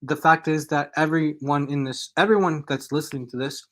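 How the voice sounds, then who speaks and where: A man's voice: a little high, steady tone, normal speed, fairly bright tone. A man talking casually and off the cuff as a guest on a religious discussion show, often repeating and restarting his phrases.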